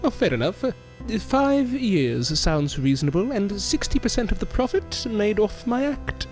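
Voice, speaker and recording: A man's voice: sits high, big pitch swings, a little slow, darkish tone. A man playing a genteel, modest ventriloquist in an audio drama, speaking in polite, flowery, formal phrases. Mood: coy, polite. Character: genteel, modest male ventriloquist, courteous and flattering. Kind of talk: scripted audio drama acting. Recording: music behind the voice.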